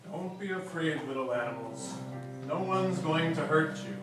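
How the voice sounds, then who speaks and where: Man as jolly old gift-giver, gentle, fairly high male voice, puppet show, very echoey. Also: expressive, a little slow. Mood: reassuring, kindly. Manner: gentle, reassuring, explaining. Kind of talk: children's puppet show acting. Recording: echoey, distant, music behind.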